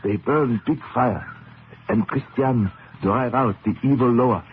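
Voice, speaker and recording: An actor in an old radio drama playing an earnest, mystical mechanic who speaks halting foreign-sounding English in short, simple sentences. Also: male voice, medium pitch, lightly acted. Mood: solemn conviction. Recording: noisy muffled narrowband old radio broadcast.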